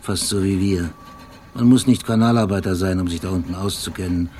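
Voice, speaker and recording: Actor as low-voiced, haunted male police detective. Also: brisk, darkish tone, lightly acted. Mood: obsessive, insistent. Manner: terse fragments, meticulous describing. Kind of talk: German radio drama monologue and dialogue. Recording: clearly noisy background.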